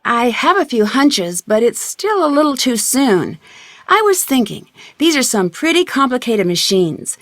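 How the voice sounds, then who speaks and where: High-voiced, inquisitive young female detective. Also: big pitch swings, measured pace, a bit bright, dry recording, studio quality. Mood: curious, friendly. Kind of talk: video game dialogue acting.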